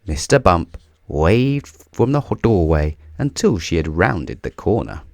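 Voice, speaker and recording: A man reading a children's storybook aloud in a theatrical, deliberate way, drawing out key words for emphasis. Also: low voice, big pitch swings, darkish tone, dry recording. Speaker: children's book reader. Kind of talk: scripted storybook read-aloud.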